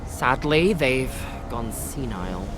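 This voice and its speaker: Vengeful young game heroine-turned-foe: bitter, scornful, deliberate slow delivery with music underneath. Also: female voice, extremely low pitch, animated, heavily acted. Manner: emphatic, scornful, spitting out words. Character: vengeful, bitter young noblewoman, armed and defiant. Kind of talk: dramatic video game cutscene acting. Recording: music behind the voice.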